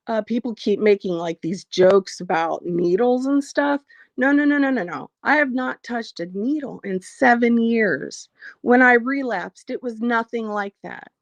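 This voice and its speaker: A woman hosting a casual livestream, reading out viewer comments and speaking frankly about her life, slightly hoarse as she loses her voice. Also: medium pitch, no room sound, muffled recording. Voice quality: frank, blunt, swears freely. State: losing her voice, a bit hoarse. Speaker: livestream host.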